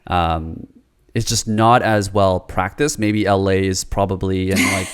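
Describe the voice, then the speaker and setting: Podcast host guy from south korea, low smooth voice, careful and thoughtful, keeps saying "right?". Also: fairly even intonation. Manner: measured, thoughtful, ends points with "right?". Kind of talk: interviewer asking questions.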